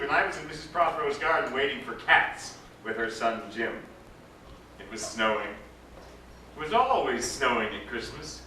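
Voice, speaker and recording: A man's voice: fairly high pitch, sharp tone. A man giving a dramatic storybook recital to a live gathering, lingering theatrically on his phrases. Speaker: actor giving a reading. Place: live event before an audience. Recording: roomy echoing live recording, distant microphone, faint noise.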